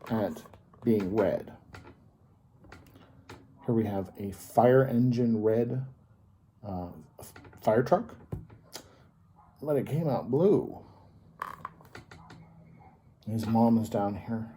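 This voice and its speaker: A man reading a picture book aloud to children as a story time host, pausing to explain and ask simple questions in a teacherly way. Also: mid-range voice, lively intonation, dark tone, slight background noise.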